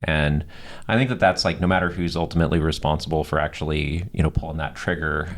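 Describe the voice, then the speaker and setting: A man's voice: very deep voice, steady tone, fast talker. A man co-hosting a podcast, talking conversationally and steering the discussion with firm opinions. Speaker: podcast co-host.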